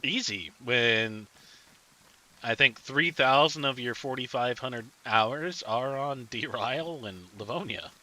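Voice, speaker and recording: A man's voice: medium pitch, takes it slowly, sharp tone, low-quality recording. A man streaming a survival game, chatting casually and rambling with his teammates. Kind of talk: casual gaming chat with teammates.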